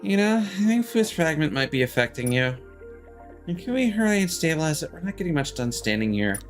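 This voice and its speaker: A man's voice: fairly high pitch, very animated, brisk, soft background music, voice pushed up. Man reading as a thoughtful young anime heroine. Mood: concerned. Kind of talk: reading game dialogue aloud.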